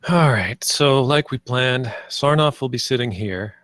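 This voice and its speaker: A man's voice: mid-range voice, measured pace, fairly bright tone, no echo, studio quality, lightly acted. A man playing an eager, nervous theme park designer in a script table read, sounding like a slightly hesitant salesman. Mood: upbeat.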